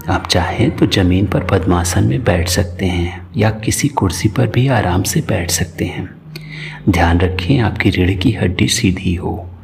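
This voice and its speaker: Slow, deep-voiced man guiding meditation. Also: creaky, a little hiss or hum. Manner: calm, soothing, unhurried instruction. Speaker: meditation guide. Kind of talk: guided meditation narration.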